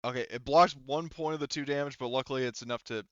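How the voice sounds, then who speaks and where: A man's voice: mid-range voice, fast, no echo, muffled recording. A man acting as game master, casually narrating the action and rules of a tabletop roleplaying game.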